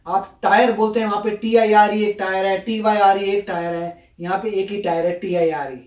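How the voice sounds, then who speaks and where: A man's voice: sits high, little pitch movement, moderate pace, a little mellow. A man from India explaining patiently in casual chat, often slipping into English phrases. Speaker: reaction vlogger. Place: US home. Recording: echoey room, far from the mic.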